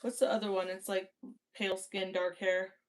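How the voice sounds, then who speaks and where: Woman, playful and chatty co-host, reads scripture in an even, steady voice. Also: mid-range voice, moderate pace. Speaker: livestream co-host. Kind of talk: casual chat and Bible reading aloud.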